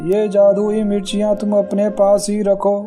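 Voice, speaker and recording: आदमी का निभाया विनम्र बूढ़ा भिखारी, नरम दबी आवाज़. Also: male voice, high-pitched, monotone, unhurried, warm tone, faint music behind. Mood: earnest. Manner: pleading, earnest. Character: pleading old male beggar, humble and mysterious. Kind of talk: animated moral story dialogue.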